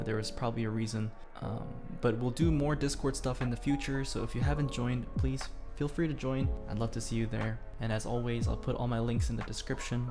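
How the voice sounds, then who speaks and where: A young man born in Korea, whose first language is Korean, answering fan questions in a playful, self-deprecating way. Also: mid-range voice. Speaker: YouTuber. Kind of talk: casual Q&A vlog.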